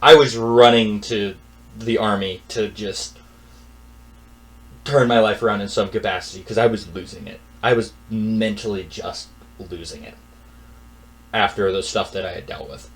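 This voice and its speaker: A young man telling a personal story in a casual rant, exasperated and repetitive, dropping to a mutter and then rising loud. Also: fairly low pitch, fairly even intonation, normal speed, long pauses, rough recording.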